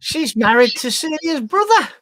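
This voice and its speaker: Animated, high-voiced woman chatting wryly. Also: normal speed, no room sound, clean studio sound. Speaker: co-host of online chat show. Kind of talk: casual chat.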